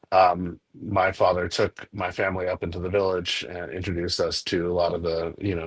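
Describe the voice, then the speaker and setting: A man in his forties who grew up in Nepal, talking as a guest on a video-call panel in an earnest, halting way. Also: low voice, slightly rough.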